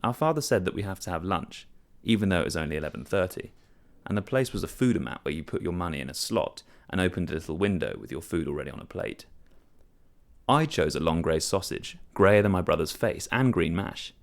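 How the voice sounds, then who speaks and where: A man's voice: deep voice, animated, brisk. A man reading a first-person literary short story as scripted narration.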